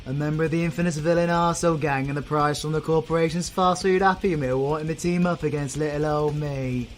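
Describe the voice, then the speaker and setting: A man's voice: on the high side, fairly even intonation, quick pace, slight background noise, close to an everyday voice. A man with a British accent playing a cocky, foul-mouthed supervillain in an audio roleplay, full of flippant, mocking banter. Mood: menacing swagger.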